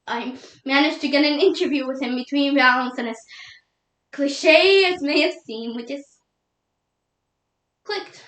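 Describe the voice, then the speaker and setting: A woman playing a grieving young widow in a solo dramatic monologue, emotional and halting, tearful and doubting God. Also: high-pitched, fairly bright tone. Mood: wistful reminiscing. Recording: echoey room.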